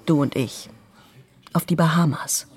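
A seductive schemer in a radio play. Her low voice is extremely husky and breathy, and she coaxes and gives orders with cool assurance. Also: a little slow, a little mellow. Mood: cool, manipulative. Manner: coaxing, bossy. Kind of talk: radio crime drama acting.

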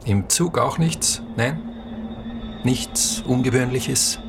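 A man's voice: mid-range voice, slow, gravelly, background noise. An actor playing a male night-train conductor in a radio drama, probing and insistently solicitous, repeating his warnings.

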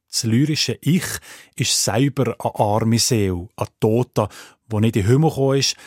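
A man with a Swiss German accent narrating a scripted radio feature as its presenter, with a husky voice. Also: lowish voice, no room sound, studio quality. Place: dry studio.

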